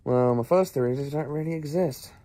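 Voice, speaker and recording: A man playing an eccentric, clever time-travelling professor in an audio drama, with a brisk, musing, take-charge manner. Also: fairly high pitch, warm tone, natural voice.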